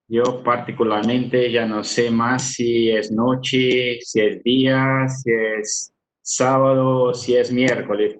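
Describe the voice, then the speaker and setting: Brazilian-accented man presenting in halting Spanish. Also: fairly low pitch, a bit bright, poor audio. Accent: Brazilian Portuguese. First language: Portuguese. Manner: hesitant, frequent "ah" fillers and repeated words. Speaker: webinar speaker. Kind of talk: online business presentation.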